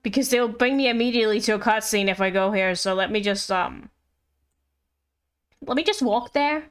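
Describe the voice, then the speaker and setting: A woman's voice: medium pitch, normal speed, smooth. A woman doing casual live commentary on a game, chatty, playful and rambling. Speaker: game livestreamer. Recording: livestream with game music behind. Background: game music.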